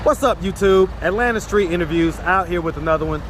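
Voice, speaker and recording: Casual street interviewer with traffic behind. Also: male voice, sits high, a little fast. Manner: direct, probing questions, casual slang. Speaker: street interviewer and channel host. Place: outdoors on a city street. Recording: street traffic noise outdoors.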